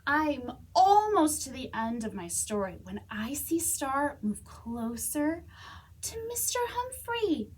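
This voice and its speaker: A woman reading a children's story aloud as a storytime reader, expressively, with dramatic pauses and whispers. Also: high-pitched, sing-song. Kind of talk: animated children's read-aloud.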